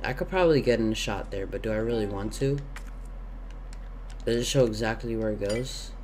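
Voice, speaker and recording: A man's voice: lowish voice, brisk, darkish tone, a little hiss or hum. Young man rambling over a game, sore throat. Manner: rambling, thinking aloud with many fillers. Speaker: gaming YouTuber. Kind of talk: casual let's-play commentary. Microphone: gaming headset.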